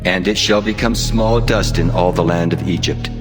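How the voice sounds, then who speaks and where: Male actor as commanding divine being, deep, solemn, cavernous reverb, music underneath, dramatized scripture. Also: close to an everyday voice. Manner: solemn, authoritative, deliberate. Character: God, a commanding divine male voice giving orders.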